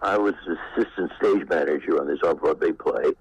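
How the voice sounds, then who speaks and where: An older man from Texas being interviewed, reminiscing in a halting, anecdotal way. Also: a bass voice, breathy edge, no room sound, rough recording. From Texas, United States. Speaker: interviewee, former actor. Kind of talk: unscripted reminiscence.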